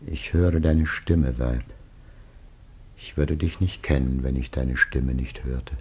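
An ancient, dying father of a great family in a radio drama. His voice is extremely low and badly husked, breaking into breathy whispers. He speaks slowly, with solemn tenderness, as if every phrase were a blessing. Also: a little mellow, exaggerated voice. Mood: gentle. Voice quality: gravelly, husky, breathy. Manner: slow, solemn declamation with whispered passages. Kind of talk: solemn classical German radio play acting.

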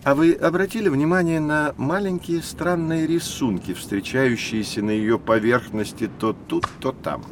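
An actor playing a lively, patient professor who explains things expressively to children in an educational audio drama. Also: male voice, medium pitch, normal speed, few pauses, background noise, lightly acted. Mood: engaged, teaching.

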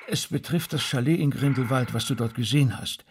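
An actor playing an earnest, insistent rabbi turned sleuth in a radio play, speaking politely but hesitantly and probingly. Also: male voice, medium pitch, a little fast, background noise, lightly acted. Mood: urgent, insistent. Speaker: actor in a radio crime drama. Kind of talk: naturalistic radio play dialogue.

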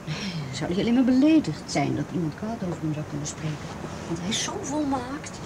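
A woman playing an anxious, guarded teenage girl who speaks haltingly in a radio play. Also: fairly low pitch, big pitch swings, background noise. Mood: bitter, resigned. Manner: halting, repeats words. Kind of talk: Dutch radio play acting.

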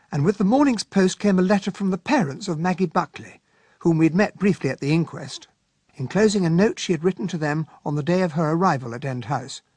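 An actor playing a genial, earnest English gentleman, a detective's loyal sidekick, mild and polite with an English accent in a radio drama. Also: a little high, slightly rough, no room sound. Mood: reflective narrating. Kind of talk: radio drama narration and dialogue.

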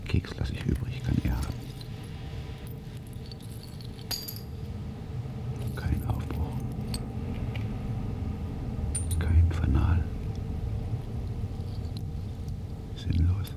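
A man's voice: a bass voice, normal speed, gravelly, whispered, heavily acted. An actor playing a weary, stubborn older male patient in a radio drama, muttering in terse, clipped fragments. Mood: resigned, muttering.

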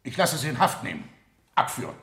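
A man's voice: mid-range voice, huge pitch swings, a little fast, fairly bright tone. An actor playing a stern, authoritative judge in a radio play, formal and commanding as he presides over a trial. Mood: indignant, stern. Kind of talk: 1970 German radio play acting. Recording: roomy, echoing studio courtroom.

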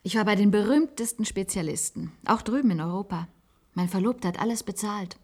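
An actress playing a wistful, resigned former secretary in a German science-fiction radio play, confiding in halting phrases. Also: female voice, medium pitch, lightly acted. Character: wistful former secretary, resigned patient living in an isolated institute.

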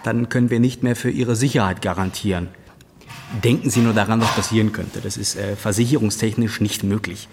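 An actor playing an officious hospital staffer in a radio drama, insistent, bureaucratic and somewhat patronising about rules. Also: male voice, fairly low pitch, fairly even intonation, fairly quick, lightly acted. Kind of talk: German radio drama acting. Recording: long reverberant hall, clear background noise.

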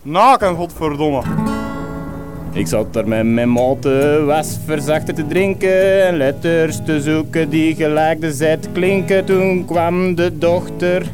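A rambling, slurring drunk who preaches the end of the world in a high, slow, sing-song drawl, as a man acts him out. His Flemish accent colours every line, and music plays behind him. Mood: ranting. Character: rambling drunk village doom prophet, older man. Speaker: village drunk. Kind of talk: comic radio play.

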